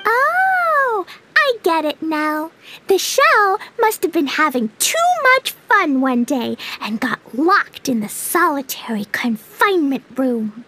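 A woman's voice: high voice, big pitch swings, takes it slowly, bright, forceful tone, exaggerated voice. A woman voicing a cheerful, innocent, wide-eyed little girl in a video game, exclaiming in a childlike way. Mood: excited, delighted.

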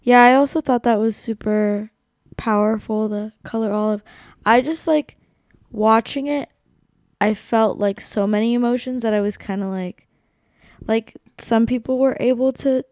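A woman's voice: fairly high pitch, steady tone, normal speed, a little mellow, no room sound, studio quality. A young woman co-hosting a podcast from a hotel room, talking earnestly and reflectively, sometimes searching for words. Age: young adult. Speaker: podcast co-host. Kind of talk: casual chat. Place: hotel room, seated on bed.

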